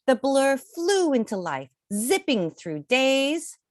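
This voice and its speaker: A woman reading aloud to children in an expressive, theatrical storytelling style, drawing out key words. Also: high voice, slow, dry recording, studio quality. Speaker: children's picture book reader.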